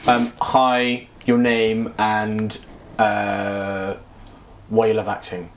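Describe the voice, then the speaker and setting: Man, podcast host, interview chat, effusive, unhurried, keeps praising. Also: fairly low pitch, little pitch movement.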